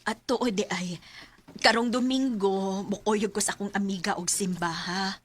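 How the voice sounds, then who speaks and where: An actress playing a middle-aged widowed mother talking softly to her grown son in a Bisaya radio drama. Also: mid-range voice, steady tone, a little slow, natural voice. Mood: casual, affectionate. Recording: noisy radio drama with household sound effects.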